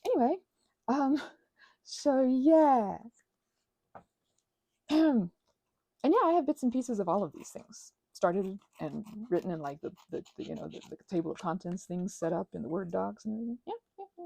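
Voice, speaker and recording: Young woman rambling off on tangents while crafting, lots of fry, soft mellow tone, kinda gushy and self-deprecating. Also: medium pitch, big pitch swings, moderate pace, pauses often, no echo. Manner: scattered, tangent-prone, self-interrupting. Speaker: craft and ASMR channel host.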